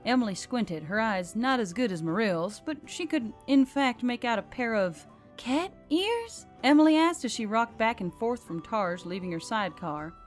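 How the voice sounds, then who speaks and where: A woman's voice: on the high side, animated, moderate pace. A woman reading scripted fantasy fiction as an audiobook narrator. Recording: background music under the reading. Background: music.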